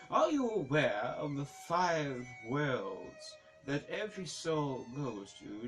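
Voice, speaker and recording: A man's voice: mid-range voice, rough recording. Man voicing a pompous, solemn judge of souls. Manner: pompous, proclaiming in archaic English. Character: solemn talking balance, archaic judge of souls.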